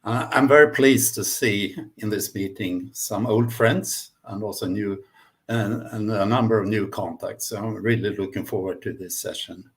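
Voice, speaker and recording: A man with a Swedish accent giving a webinar presentation as guest speaker, often pausing mid-sentence. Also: lowish voice. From Sweden. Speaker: guest speaker, management consultant. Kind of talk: webinar presentation, semi-spontaneous.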